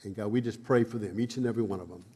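A man's voice: on the low side, very fast, dark tone, slightly rough. A man who stutters, giving church announcements and leading a prayer with many repeats and fillers. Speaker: preacher. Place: church sanctuary. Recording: long hall reverb.

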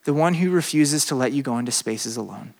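A young adult man, a pastor with a slight speech impediment, preaching a lively sermon to students, swinging from loud bursts to hushed stretches. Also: mid-range voice, a little fast, gravelly. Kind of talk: sermon to a college crowd.

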